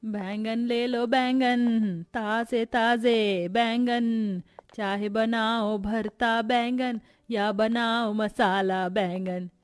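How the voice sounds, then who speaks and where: Woman acting a slow, kind old grandmother. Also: a little high, steady tone, clean tone, faint music behind. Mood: calling out wares. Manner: gentle, drawn-out, tender. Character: poor, kind-hearted old village grandmother selling vegetables. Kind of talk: cartoon story character voice.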